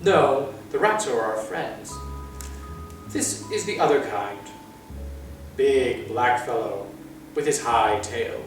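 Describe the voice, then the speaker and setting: A man voicing a vague, secretive middle-aged father figure in a storybook, halting and confiding, with gloomy, awkward hospitality. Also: mid-range voice, animated. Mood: matter-of-fact. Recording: echoey room, far from mic, music.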